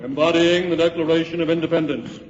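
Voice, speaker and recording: Actor as stately presiding officer, echoing hall. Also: male voice, on the high side, steady tone, fast, close to an everyday voice. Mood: authoritative. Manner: formal, authoritative. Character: stately president of the colonial congress. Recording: dull, noisy old recording, echoing hall.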